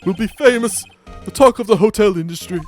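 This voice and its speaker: A man's voice: high voice, fairly quick, soft background music, pitched above the performer's own voice, natural voice. A man voicing an obsequious, eccentric video game bellhop with mock-formal politeness and morbid salesman zeal. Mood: grand, eager.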